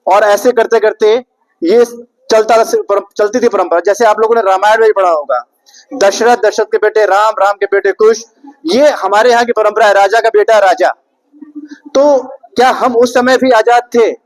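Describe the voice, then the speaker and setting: A man's voice: high-pitched, steady tone, normal speed, rough recording. A young man giving a public speech to an audience, rhetorical and repetitive, putting questions to the listeners. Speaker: speaker at an Independence Day event.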